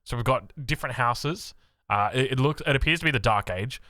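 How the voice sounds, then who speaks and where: An Australian man reacting to a video as he watches, excitable and thinking aloud, cutting in on himself. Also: medium pitch, fairly quick, dry recording. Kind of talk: casual reaction commentary.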